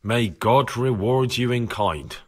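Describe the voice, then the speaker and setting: A man's voice: medium pitch, slow, breathy edge. A man playing an earnest, persuasive young medieval investigator in a video game, polite, probing and firm. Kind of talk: video game cutscene acting.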